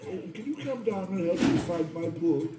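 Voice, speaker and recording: A man's voice: high voice, expressive, moderate pace, echoey room, distant mic, over music, poor audio. A man voicing a grumpy, pompous spider dad in a children's cartoon, fussy and stammering but secretly playful. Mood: grumpy, flustered. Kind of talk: animated children's cartoon acting.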